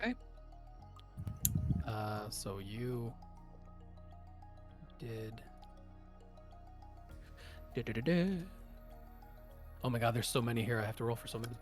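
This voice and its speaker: A man's voice: medium pitch, slow, long pauses. A man refereeing a tabletop roleplaying game as game master, talking casually and sometimes muttering to himself. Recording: music under the voice.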